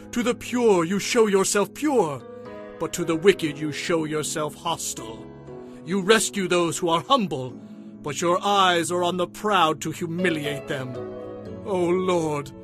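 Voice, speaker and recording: An actor playing a devout warrior king, reciting praise to God solemnly and fervently in a dramatized scripture reading. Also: sits high. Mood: earnest devotion. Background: music.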